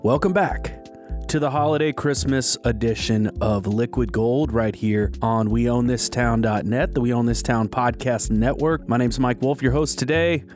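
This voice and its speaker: A man's voice: medium pitch, moderate pace, darkish tone, soft background music. A man hosting a podcast, chatting in an upbeat, casual way as he introduces the episode and his guest. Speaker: podcast host.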